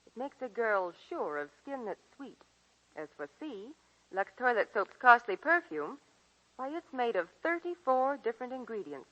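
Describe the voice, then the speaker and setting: A woman's voice: a little high, sharp tone, full character voice. An actress playing an eager, chirpy young woman in a scripted 1940s radio commercial. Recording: muffled vintage 1940s radio broadcast.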